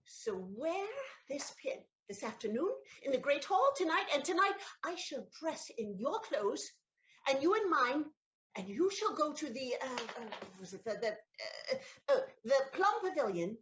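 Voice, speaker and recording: A woman playing a romantic, scheming countess in a stage comedy audio play, dramatic and exclamatory with hesitant pauses. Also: high voice, sing-song, a bit bright, slight background noise, heavily acted. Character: theatrical noblewoman countess, scheming, romantic, betrayed wife.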